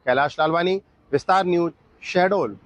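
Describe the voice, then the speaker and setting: Fairly high-voiced male news reporter. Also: moderate pace. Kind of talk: scripted news narration.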